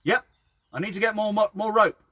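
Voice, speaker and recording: Man playing a high-pitched, brash workman calling out. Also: a little fast. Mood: eager, shouting. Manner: shouted, blunt, informal. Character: bold workman mate, brash and eager, calling out.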